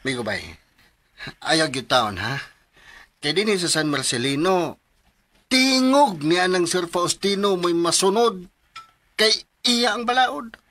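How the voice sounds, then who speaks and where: Excitable village sidekick, radio drama: colloquial, bright, very animated pitch. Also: male voice, slow, pauses often, full character voice. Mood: cautioning. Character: excitable, folksy, cautious village best friend. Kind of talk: Philippine radio drama acting in Cebuano.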